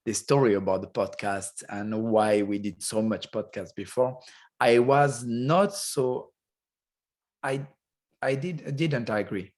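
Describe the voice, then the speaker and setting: Halting man chatting. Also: mid-range voice, a little mellow. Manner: halting, restarts often, searches for words. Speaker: podcast co-host. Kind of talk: casual chat.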